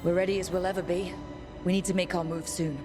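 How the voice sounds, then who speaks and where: A woman's voice: lowish voice, level tone, fast, over music, close to an everyday voice. A woman playing a resolute, plain-spoken young team leader in a video game. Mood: determined. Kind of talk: video game dialogue acting.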